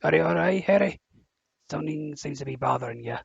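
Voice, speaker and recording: Man acting a gravelly big friendly groundskeeper. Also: on the high side, animated, brisk, no room sound, muffled recording, exaggerated voice. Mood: concerned, friendly. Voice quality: gravelly, husky. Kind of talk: audio drama character acting.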